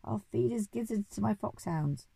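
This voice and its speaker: A woman's voice: mid-range voice, animated, moderate pace, gravelly, dark tone, slightly rough, dry recording. Woman playing a pompous, blustering old Duke. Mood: bellowing, furious. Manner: pompous, old-fashioned exclamations. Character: excitable old aristocratic Duke, blustering and bellicose.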